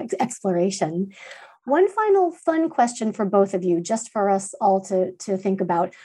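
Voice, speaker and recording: A woman's voice: mid-range voice, dark tone, breathy edge. A woman hosting an academic interview, asking long encouraging questions. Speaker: interview host.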